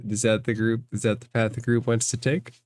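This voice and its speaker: A man's voice: medium pitch, flat delivery, brisk, darkish tone, no room sound, clean studio sound. A man acting as game master, narrating a fantasy roleplaying game in formal phrases and putting questions to the players.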